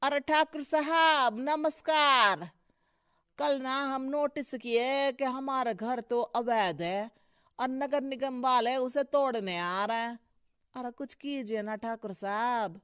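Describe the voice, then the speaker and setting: A woman voicing a deferential, effusive townsperson in an animated moral story. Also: a little high, slow pace, clear, resonant tone, no room sound, studio quality, pitched above the performer's own voice. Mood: pleading.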